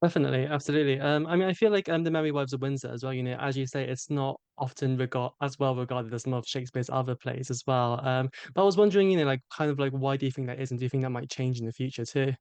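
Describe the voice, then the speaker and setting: A man's voice: medium pitch, steady tone, a little mellow, smooth, dry recording. A man hosting an interview, asking questions with lots of fillers. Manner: quick, hedging with fillers. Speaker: podcast host, interviewer.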